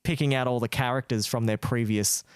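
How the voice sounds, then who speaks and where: A man's voice: mid-range voice, steady tone, a little fast, clean tone, dry recording, studio quality. A man co-hosting a podcast, chatting casually with slangy, informal phrasing. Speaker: podcast co-host.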